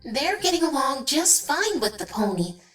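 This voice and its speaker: A woman's voice: high-pitched, very animated, normal speed, a bit bright, far from the mic. A woman playing a nervous, deferential changeling schoolteacher in an audio drama. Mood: nervous, hesitant. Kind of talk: fan audio drama acting. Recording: echoey room, off mic, music underneath.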